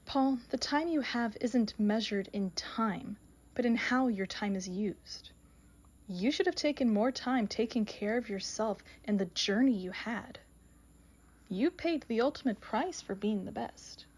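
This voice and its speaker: A woman's voice: fairly high pitch, lively intonation, darkish tone, slightly rough. Woman playing a solemn all-knowing female grim reaper. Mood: solemn, hushed. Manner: measured, oracular, dramatic pronouncements. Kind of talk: audio mystery drama acting.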